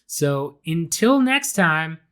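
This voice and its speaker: Young male podcast host, slightly raised pitch, mildly raspy and under the weather, casual rambling delivery. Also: very animated, takes it slowly, bright, forceful tone. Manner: casual, chatty, frequent fillers and restarts. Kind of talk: solo podcast commentary.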